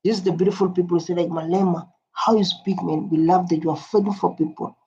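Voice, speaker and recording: A mellow, darker voice talks loosely over a clip. It rambles through viewer comments and drifts into its own thoughts. The recording sounds dull and lo-fi, with the top end rolled off. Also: mid-range voice, fairly even intonation, fast, a little hiss or hum. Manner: rambling, heavy use of "like" and restarts. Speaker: reaction video host.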